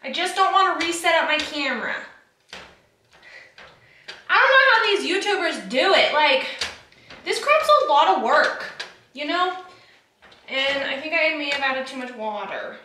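A woman's voice: high-pitched, sing-song, unhurried, pauses often, pushed and bright, a little hiss or hum. Flustered chatty young woman in an echoey kitchen. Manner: self-deprecating, flustered, chatty. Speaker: cooking vlogger. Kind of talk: casual cooking vlog. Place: small home kitchen, indoors. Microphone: camera across the kitchen. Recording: echoey kitchen, far camera mic.

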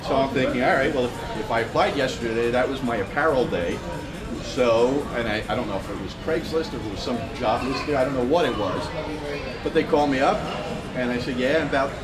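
A man's voice: medium pitch, a little fast. A man in his fifties giving a casual, self-deprecating talk at a meetup, laughing often as he tells stories. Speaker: guest speaker. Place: meetup room in a store, in front of a screen. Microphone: far camera. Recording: echoey room with loud ambience.

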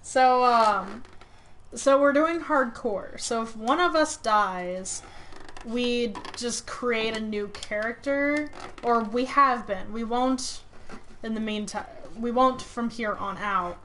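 A woman streaming a video game and chatting casually with her co-op partner. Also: mid-range voice. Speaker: gaming streamer. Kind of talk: casual co-op gameplay chat. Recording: loud game noise behind voice. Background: game combat sounds and ambience.

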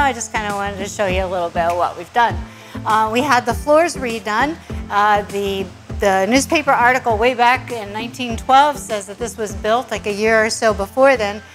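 A woman's voice: mid-range voice, rough recording. A middle-aged woman, a bakery owner, giving a casual walking tour of her large restaurant, chatty and upbeat with many fillers.

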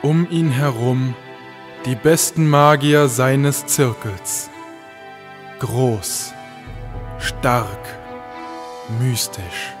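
A man's voice: medium pitch, very slow, pauses often. A man narrating an audio drama as a scripted, suspenseful story in a portentous manner. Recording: loud music under the voice.

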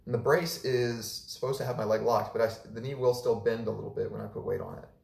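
Hoarse, gravelly young man in an echoey room. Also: on the low side, quick pace, far from the mic. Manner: relaxed and chatty, with many fillers. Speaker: vlogger. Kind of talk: casual recovery update. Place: small living room indoors. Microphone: camera a few meters away.

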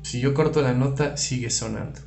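A man's voice: mid-range voice, normal speed, creaky, darkish tone. Man teaching guitar effects in a roomy space. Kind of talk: informal product tutorial. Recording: roomy, reverberant room sound. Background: electric guitar playing.

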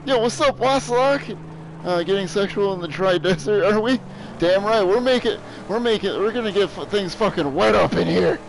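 A man's voice: high-pitched, normal speed, vocal fry, a little hiss or hum, rough recording. A young man playing a video game on stream, chatting crudely and joking with viewers, laughing often. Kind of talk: casual gameplay commentary.

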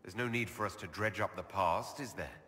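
An earnest elf leader. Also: male voice, deep voice, brisk, pushed and bright, husky, big-room sound, heavily acted. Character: male elf leader of an order, earnest and direct. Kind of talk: video game voice acting.

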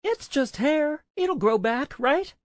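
A woman voicing a stubborn, sharp-tongued young woman with a curt, defiant manner in an audiobook. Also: high-pitched, expressive, slow pace, voice pushed up. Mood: nervous joking.

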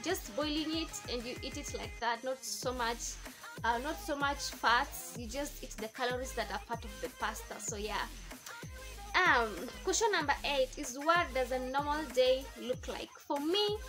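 Kenyan girl in her twenties vlogging, high voice, music playing behind. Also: moderate pace. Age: 20s. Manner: chatty, repeats herself, answers questions one by one. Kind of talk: casual chat to camera. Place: indoors in front of a patterned backdrop. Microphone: camera facing her at close range.

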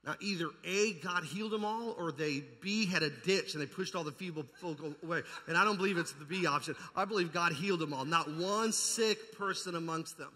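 Male pastor, forceful bright delivery, fervent evangelical preaching with emphatic repetition, amplified in a long-reverb church hall. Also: fairly high pitch, a little fast, hardly any pauses. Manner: puts rhetorical questions to the congregation. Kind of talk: charismatic evangelical sermon. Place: large indoor church hall.

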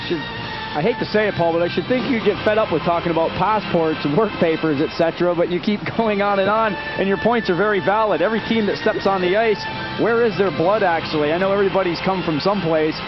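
A man from the United States doing brisk, analytical color commentary on ice hockey replays in an arena commentary booth. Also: sits high. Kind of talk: sports commentary. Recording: dull broadcast audio with music behind.

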